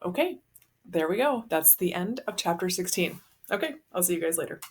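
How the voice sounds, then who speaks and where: A woman's voice: on the low side, lively intonation, fairly quick, slightly rough. Woman reading a novel aloud. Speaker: read-aloud narrator. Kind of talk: children's novel read aloud.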